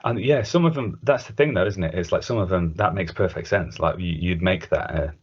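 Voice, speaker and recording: A man's voice: low voice, brisk, husky. A man co-hosting a podcast in casual, hesitant, informal chat, often tagging questions onto his points. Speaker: podcast co-host. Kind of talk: casual chat about retro cartoon video games. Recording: dull, muffled lo-fi audio.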